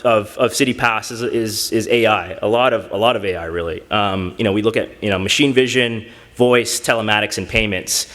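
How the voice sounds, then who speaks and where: A man presents a tech demo on a conference stage, halting often with ums and restarts. His voice is mid-pitched, and a long hall reverb trails each phrase. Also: a bit bright. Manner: halting, full of fillers and restarts. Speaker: hackathon team presenter.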